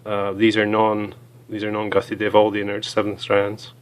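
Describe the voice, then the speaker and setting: A hoarse man with a cold, chatting casually as he reviews small gear items, with many fillers. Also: on the low side, completely flat delivery, normal speed.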